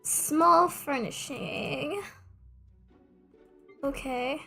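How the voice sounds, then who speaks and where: A woman's voice: sits high, extremely slow, creaky, sharp tone, faint music behind. A young adult woman streaming a game, chatting casually in a rambling, self-mocking way with joking asides. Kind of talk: casual gameplay streaming chat.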